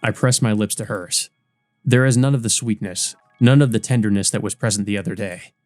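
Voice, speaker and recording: A man's voice: fairly low pitch, a little fast, a little mellow, no echo, soft background music. A man reading a visual novel aloud as dramatic scripted narration. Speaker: let's play narrator.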